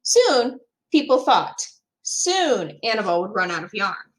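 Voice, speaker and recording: A woman reading a picture book aloud as a teacher would, expressive and emphatic for young children. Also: medium pitch, measured pace, poor audio.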